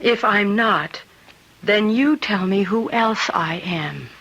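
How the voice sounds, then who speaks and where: An actress playing a fragile, tender young woman who talks haltingly in an old-time radio drama. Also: mid-range voice, a bit bright, lo-fi sound. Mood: anxious. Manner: hesitant, halting.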